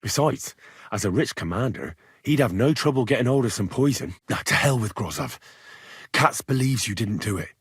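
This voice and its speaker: An actor playing an earnest, inquisitive young medieval blacksmith turned adventurer in a video game, speaking in a plain-spoken way. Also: male voice, mid-range voice, expressive, moderate pace, fairly bright tone, husky, no room sound, clean studio sound, full character voice. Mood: probing, determined. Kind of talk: video game dialogue lines.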